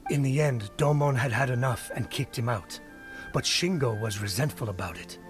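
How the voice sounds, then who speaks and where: A man's voice: mid-range voice, normal speed, faint music behind, natural voice. A man voicing a gossipy, disapproving villager. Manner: matter-of-fact, mildly scornful. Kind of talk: video game voice acting.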